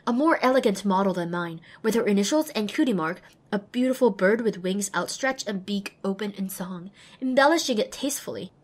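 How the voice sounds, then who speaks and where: A woman's voice: medium pitch, fairly quick, few pauses, close to an everyday voice. A young woman voicing an earnest, self-deprecating young female pony apprentice, narrating an audio drama expressively and wryly. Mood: awed, excited. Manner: expressive, wry. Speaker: lead and first-person narrator.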